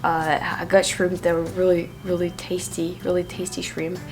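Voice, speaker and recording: A young French woman vlogging her travels in casual chat, slightly hoarse from a cold and full of fillers and restarts. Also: fairly low pitch, level tone, moderate pace, darkish tone, faint music behind. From France. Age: young adult. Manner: chatty, with many fillers and restarts.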